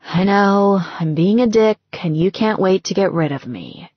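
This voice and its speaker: A slightly muffled audiobook recording presents a young female star in recovery, acted by a woman narrator. She is bitter and self-pitying, with a husky edge to her voice. Her sarcasm spills into exclamations. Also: medium pitch, unhurried, no room sound. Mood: bitter, agitated.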